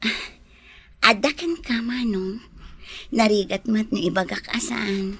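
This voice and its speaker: A woman with an Ilocano accent playing a gentle, frail young lady in a radio drama, her voice slightly husky and soft. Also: mid-range voice, steady tone, unhurried. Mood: puzzled, polite.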